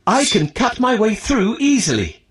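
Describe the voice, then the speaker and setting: A man's voice: sits high, huge pitch swings, moderate pace. A man voicing an earnest, determined young prince in a children's storybook, with careful, declarative enunciation. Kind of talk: scripted children's storybook acting.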